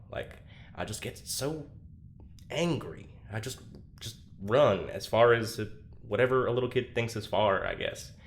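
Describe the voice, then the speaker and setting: A troubled young man confides in an audio drama monologue. His voice sits fairly low and he speaks haltingly, doubting himself with dry sarcasm. A light bed of ambient noise runs behind him. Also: gravelly. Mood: weary, withdrawn.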